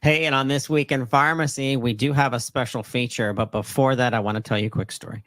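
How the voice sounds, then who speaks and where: A man's voice: medium pitch, fairly even intonation, a little fast, a bit bright, dry recording. A man hosting an interview podcast, talking in an emphatic, enthusiastic promotional way. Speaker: podcast host.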